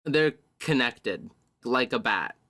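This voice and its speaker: A man playing a tabletop roleplaying game, describing scenes as he improvises them, piecing his sentences together in halting fragments. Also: medium pitch, animated, bright, forceful tone, no room sound.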